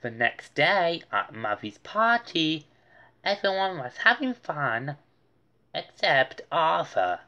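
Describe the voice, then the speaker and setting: A woman narrates a children's storybook at a very slow pace. Her voice sits fairly low, and it swings widely in pitch as she reads. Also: big pitch swings, bright, forceful tone, muffled recording. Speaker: storybook reader. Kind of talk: read-aloud storybook narration.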